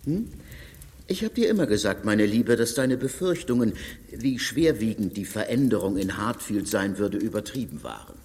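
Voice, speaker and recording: An actor playing a reserved, ironic husband who speaks in a dry, matter-of-fact way. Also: male voice, lowish voice, sing-song, moderate pace, darkish tone, big-room sound. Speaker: actor in a radio play.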